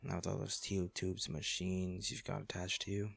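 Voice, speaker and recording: A man acting an anguished wealthy businessman in an intimate audio drama, speaking haltingly and emotionally to a comatose lover, with a gravelly voice. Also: low voice, monotone, a bit bright, no echo, heavily acted. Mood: weary, tender. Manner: halting, emotional, with sighs and repeated words.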